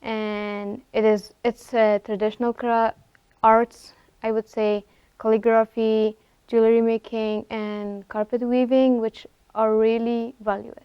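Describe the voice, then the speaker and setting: Young woman, halting interview answers. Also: on the high side, level tone, smooth, no echo, dull audio. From Afghanistan. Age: 20s. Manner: hesitant, many fillers and restarts. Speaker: guest, student artist.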